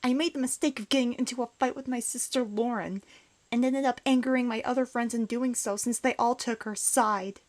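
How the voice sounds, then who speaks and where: A woman's voice: fairly high pitch, steady tone, a little fast, close to an everyday voice. A woman acting a bitter, despondent outcast in a comic dub, guarded, sullen and dismissive. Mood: curt, defiant.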